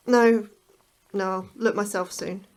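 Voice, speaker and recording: A woman's voice: mid-range voice, fairly even intonation, slow pace, darkish tone, close to an everyday voice. A woman acting an anxious, prickly astrobiologist in a naturalistic audio drama, hesitant, blunt and defensive. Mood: stubborn, indignant.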